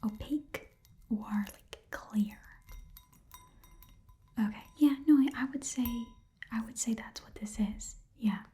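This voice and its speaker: A friendly, slightly odd goblin shopkeeper, played by a woman, murmurs in a breathy whisper for an ASMR roleplay. The tone is soft and dark, with nothing sharp in it. The goblin rambles and hesitates in a chatty, courteous way, like a small-time pawnbroker dealing with a customer. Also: a little high, slow, long pauses. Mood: haggling. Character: friendly, odd little goblin pawnshop keeper named Pick. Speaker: ASMR performer.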